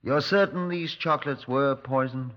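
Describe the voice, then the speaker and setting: A man's voice: mid-range voice, normal speed, lightly acted. An actor playing a dry, skeptical Scotland Yard superintendent in 1950s radio drama style, wry and understated as he questions and narrates. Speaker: detective and narrator. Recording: dull old radio recording.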